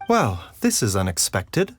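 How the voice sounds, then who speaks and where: A man voicing a composed, courteous, soft-spoken pharmacist with polite, formal phrasing in a video game. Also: lowish voice, huge pitch swings, a little slow, breathy edge, soft background music, full character voice.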